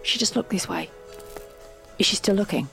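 An excitable wife in a naturalistic audio drama. Her delivery is very animated, flustered and effusive, full of self-doubt, with faint music behind her. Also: medium pitch, normal speed, gravelly. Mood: flustered, excited.

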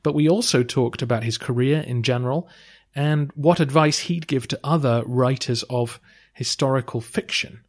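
Male podcast host, measured scripted delivery, average pitch. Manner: measured, occasional um and uh. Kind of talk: scripted podcast intro and interview.

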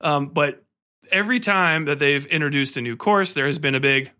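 A man podcast host giving a casual, rambling film review, enthusiastic and profane, often halting and repeating himself. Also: fairly high pitch, background noise. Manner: animated, enthusiastic; profane, slangy.

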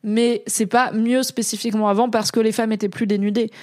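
A woman's voice: a little high, little pitch movement, a little fast, a little mellow, dry recording, studio quality. A woman from France giving casual, slangy commentary as a streamer reading chat, talking in a rambling way with frequent hesitations.

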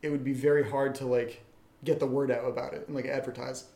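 A man's voice: medium pitch, level tone, fast talker, vocal fry, warm tone. A man hosting a podcast, chatting casually and reading out listener questions. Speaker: podcast host. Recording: echoey room.

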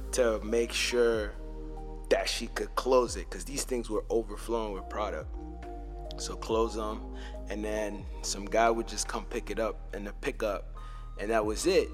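A young man hosting a podcast, telling loose, slangy stories in a casual way and dropping into low asides. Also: fairly even intonation, a little slow, soft background music. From Montreal, Canada. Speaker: podcast host. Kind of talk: casual storytelling.

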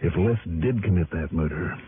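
Man acting a low-voiced, brooding hard-boiled radio detective. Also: moderate pace, a little hiss or hum, natural voice. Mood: anguished. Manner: clipped, brooding, self-questioning delivery. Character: world-weary, hard-boiled male private investigator, brooding and dogged. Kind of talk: 1950s-60s radio detective drama narration and dialogue. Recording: vintage tinny radio recording, music behind.

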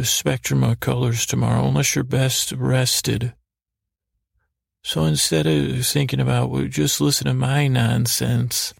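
A man hosting a bedtime podcast, rambling haltingly through pointless, silly asides in a creaky, gravelly voice meant to lull listeners to sleep. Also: medium pitch, darkish tone, no room sound, clean studio sound. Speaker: podcast host.

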